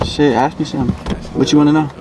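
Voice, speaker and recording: A man's voice: a little high, steady tone, quick pace, very noisy. A man in his twenties on a blind date in a car, tipsy after a couple of shots, talking in a cocky, laid-back, slangy way. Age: 20s. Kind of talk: casual chat. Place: inside a parked car at night. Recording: music playing under the talk.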